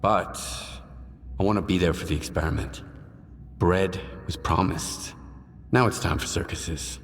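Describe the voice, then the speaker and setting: An actor playing a guarded, cynical young ex-soldier in a video game, terse and dry. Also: male voice, sits low, measured pace. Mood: guarded, sardonic. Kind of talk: video game cutscene acting. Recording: hall reverb, faint music.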